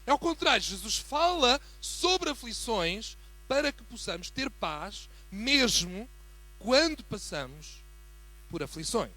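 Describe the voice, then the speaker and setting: Portuguese guy (european accent) preaching in church, highish voice, gets fired up and emphatic. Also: very animated, slow pace. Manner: swells from quiet greeting to fervent emphatic preaching. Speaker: guest preacher. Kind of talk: sermon.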